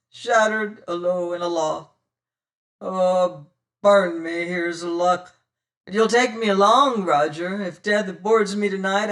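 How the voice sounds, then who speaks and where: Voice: mid-range voice, a bit bright. A reader voicing a wounded male pirate who groans and curses his luck. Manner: groaning, cursing.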